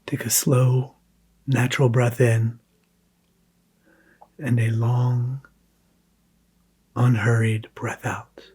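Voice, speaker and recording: Guy leading a guided meditation, super slow and even, soft hushed voice w long pauses. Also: mid-range voice, slightly rough.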